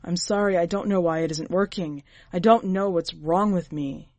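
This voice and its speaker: A woman reading the lines of a despairing, ashamed husband in a quiet, pleading way. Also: fairly low pitch, moderate pace, no room sound, natural voice.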